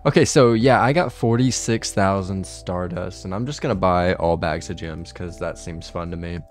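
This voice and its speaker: A young man with a raspy, hoarse voice from being ill, chatting casually over his gameplay and swearing now and then. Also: sits low, moderate pace, soft background music. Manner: laid-back, swears casually. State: hoarse from illness. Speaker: gaming video creator.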